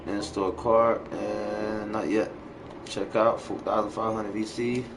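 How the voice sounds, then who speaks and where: A man's voice: fairly low pitch, flat delivery, slow pace, music in the background. A man hosting a gaming livestream, chatting casually in slangy, profane language. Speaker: game livestream host. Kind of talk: casual gaming stream chat. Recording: noisy home stream with game ambience.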